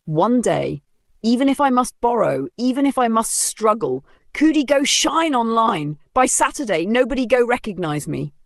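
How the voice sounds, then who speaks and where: A vain, theatrical young woman from a comic folktale, acted out by a female storyteller. She speaks high, boastful and pleading, in a dry recording. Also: sing-song, moderate pace, vocal fry, dry recording. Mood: determined. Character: vain, dramatic young woman craving online glory.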